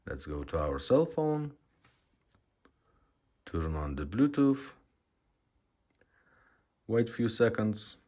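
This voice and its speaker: Low-voiced man giving a halting product demo. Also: very animated, mellow. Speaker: presenter demonstrating a retrofitted car radio.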